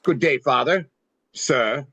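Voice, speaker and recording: A man's voice: mid-range voice, slow pace, sharp tone, no room sound, heavily acted. A man playing a stern, curt Civil War general in a table reading, speaking formally and with clipped authority. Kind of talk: amateur play table reading. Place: online video-call reading. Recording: dull, dry video-call sound.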